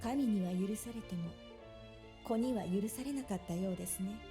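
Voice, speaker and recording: A woman voicing a polite young clinic nurse with a mischievous streak in an expressive visual novel performance. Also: medium pitch, fast talker, close to an everyday voice. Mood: solemn. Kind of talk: Japanese visual novel voice acting. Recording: game audio with music behind. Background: game music.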